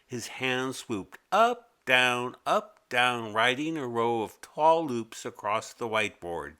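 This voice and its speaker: Animated, unhurried man reading a story. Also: mid-range voice, clean tone. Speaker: author narrating his own children's story. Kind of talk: scripted children's story narration.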